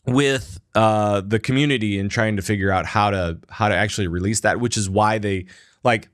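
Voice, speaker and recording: A man's voice: lowish voice, normal speed, clean tone, dry recording, clean studio sound. Rambling man hosting a gaming podcast. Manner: rambling, loose, with many fillers and restarts. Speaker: podcast host. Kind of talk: casual gaming podcast chat.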